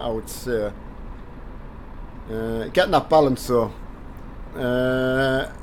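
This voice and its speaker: An older man from the north of England, hoarse from a cold, chatting casually on a game live stream and greeting viewers by name through a headset mic. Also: mid-range voice, darkish tone, a little hiss or hum. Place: home gaming room with driving rig.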